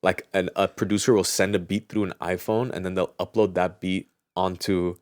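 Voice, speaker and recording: Man, low pitch, dry recording, informal explanatory podcast chat. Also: little pitch movement, normal speed, a little mellow, no room sound. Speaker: podcast host; audio mixing engineer.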